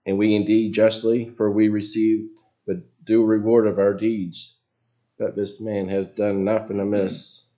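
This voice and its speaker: A man with a very low voice leading prayer and giving an informal sermon in a small church, reading scripture and losing his place now and then. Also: little pitch movement, measured pace, muffled recording. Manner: folksy, loses his place and apologizes. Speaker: lay preacher. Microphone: PA microphone.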